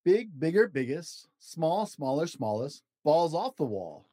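A man hosting a storytime show and reading a children's book aloud expressively, in deliberate short phrases with an encouraging manner. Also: on the high side, big pitch swings, dark tone, dry recording, studio quality. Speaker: podcast host reading picture books aloud.

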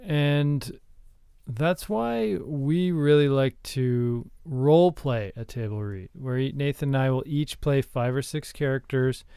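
Clear-voiced deadpan man co-hosting a podcast. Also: medium pitch, no echo, studio quality. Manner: earnest, deadpan, halting. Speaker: podcast co-host. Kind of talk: deadpan comedic screenwriting talk.

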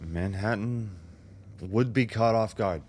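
A man's voice: on the low side, slow pace, darkish tone, slightly rough. An actor in a radio drama playing a self-doubting political leader, a man who sounds hesitant and agitated. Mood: doubtful, tense. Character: anxious, self-doubting new political chairman, a war hero. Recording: noticeable background noise.

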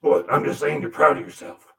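A man's voice: a little fast, pushed and bright, close to an everyday voice. A man voicing a crude, sarcastic male demon puppet who grumbles and swears through a comic monologue.

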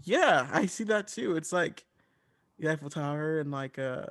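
A man's voice: a little high, animated, measured pace, a bit bright. A man playing a chatty, bewildered parent in an improv comedy parody commercial, rambling and repeating himself. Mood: marveling. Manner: rambling, repetitive, hesitant.

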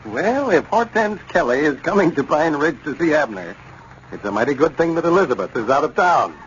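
Animated old-time American radio announcer, male. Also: on the high side, brisk. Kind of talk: 1930s radio announcing, scripted advertising. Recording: muffled, hissy 1930s radio recording.

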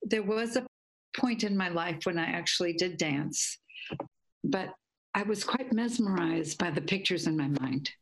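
A middle-aged woman from Guatemala telling her life story in a reflective, meandering way, correcting herself and laughing softly. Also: on the low side, measured pace, rough recording. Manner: dreamy, meandering, with soft laughs and self-corrections. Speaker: guest, imagery teacher.

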